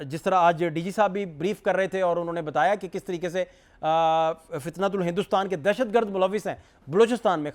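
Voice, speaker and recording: A male political talk show host with a Pakistani accent. His voice sits high for a man. He frames his questions at length and addresses his guest with courtesy. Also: clean tone.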